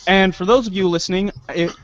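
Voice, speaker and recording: Higher-pitched man hosting casually. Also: fairly even intonation, fairly quick, dull audio. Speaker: host. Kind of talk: casual spoken asides.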